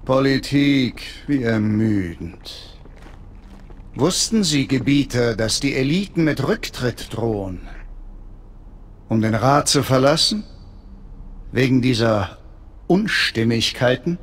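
A man voicing an imperious, pompous alien high priest in a video game, solemn and authoritative. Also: lively intonation, takes it slowly, pauses often, a little hiss or hum. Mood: stern, weary. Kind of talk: cinematic video game acting.

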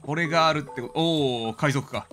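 A man's voice: on the high side, fairly quick, a bit bright, faint music behind. A man casually commentating on a video game, reacting aloud and talking to the game as he plays. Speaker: streamer playing a video game. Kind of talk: casual game commentary with dramatic line readings.

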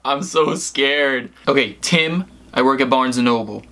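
Bright-voiced young American man, rambling casually. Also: mid-range voice, unhurried. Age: young adult. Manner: hesitant, rambling, heavy use of "like". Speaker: prank-call vlogger. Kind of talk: casual chat to camera and on phone calls.

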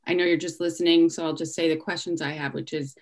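A middle-aged woman with a low voice speaks up at a council hearing through her laptop webcam at home. She talks hesitantly and stops often to rephrase her questions. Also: fairly even intonation. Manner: hesitant, halting, many fillers and restarts. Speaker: resident giving public comment. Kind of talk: public comment on a video call. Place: home room indoors. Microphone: laptop webcam on a video call.

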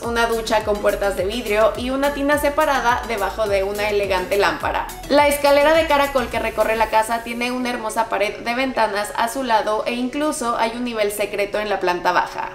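Woman narrating a house tour, upbeat, a bit high, echoey room, soft music under it. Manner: upbeat, descriptive, addresses viewers as friends. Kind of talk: scripted narration. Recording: roomy voice-over with background music.

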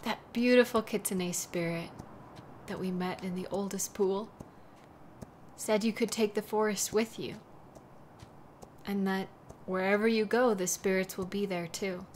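A woman performs a devoted young woman in an audio roleplay drama. She talks slowly and haltingly, at a middle pitch, with a wistful, protective tenderness. Faint ambience sits behind the voice. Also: steady tone, slight background noise, close to an everyday voice. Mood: wistful, worried. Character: devoted, worried young woman caring for a sleeping kitsune.

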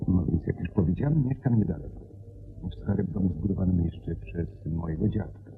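An actor playing a worried, formal country gentleman in a radio play, telling his account gravely and deliberately. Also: low-pitched, lively intonation. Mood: grave, troubled. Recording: dull radio drama recording with background noise.

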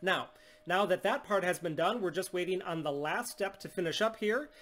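Higher-voiced man demoing a tablet. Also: moderate pace. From United States. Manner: steady, explanatory, salesy. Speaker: phone unlocking business owner. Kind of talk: scripted product demonstration. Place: small indoor room at home.

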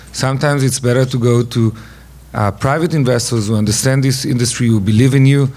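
A man's voice: mid-range voice, a little mellow. Israeli guy talking on a conference stage into a stand mic, lots of ums. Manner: halting, many fillers. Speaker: panelist, investor. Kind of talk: conference panel talk.

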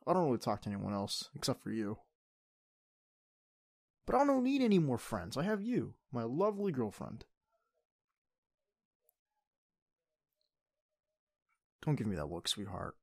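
A man acts out an affectionate teenage boyfriend in an audio roleplay. His voice is mid-range and soft-spoken, with a playful, tender way of talking. It is recorded close and dry, intimate in the ASMR style. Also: medium pitch, huge pitch swings, brisk, creaky, breathy edge, no echo, exaggerated voice. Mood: sincere, casual.

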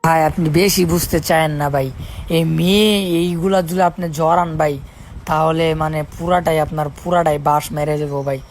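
A man's voice: a little high, steady tone, brisk, slight background noise. A man with a Bangladeshi accent talking casually in an insistent, persuading way, often repeating his appeals. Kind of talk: casual conversation.

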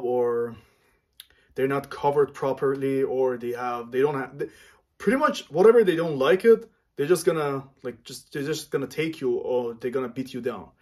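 A man in his thirties from Iran, speaking earnestly and haltingly to camera in a small home room, often stopping to search for words. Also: medium pitch, darkish tone. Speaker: vlogger.

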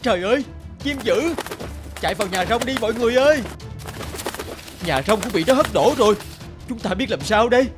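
A man voicing a solemn old male village elder in a cartoon, speaking ceremonially over loud music. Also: high-pitched, lively intonation, fairly quick, pauses often. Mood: alarmed. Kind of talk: cartoon voice acting.

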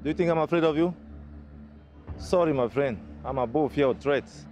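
An actor playing a cocky rival who mocks threats and refuses to back down. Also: male voice, a little high, normal speed, darkish tone, highs cut off, faint music behind, lightly acted. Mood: mocking. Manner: taunting, dismissive. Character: cocky rival, mocking and unafraid.

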